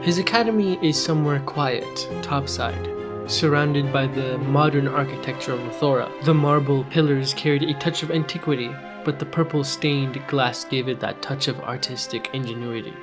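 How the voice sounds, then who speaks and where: Medium-pitched man storyteller over music. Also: moderate pace. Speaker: co-narrator of a fiction podcast. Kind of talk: scripted conversational storytelling.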